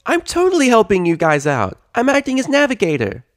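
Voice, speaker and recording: A man voicing a perky, naive little filly with a chirpy, squeaky manner in a cartoon story reading. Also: wildly swooping pitch, fairly quick, heavily acted. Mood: cheerfully bossy.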